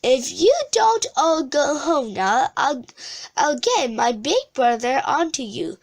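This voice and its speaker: Lady doing a bossy friendly witch from a kids story, high voice, super animated and exclamatory. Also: takes it slowly, heavily acted. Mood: angry. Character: excitable, bossy but friendly witch hostess.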